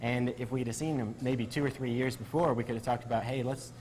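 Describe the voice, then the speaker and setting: A man's voice: medium pitch, steady tone, fairly quick, darkish tone, slight background noise, poor audio. A man, a physician guest on a TV interview, explaining things earnestly with some ums and uhs. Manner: earnest, explanatory, many fillers. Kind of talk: TV interview answers. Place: barbershop on location.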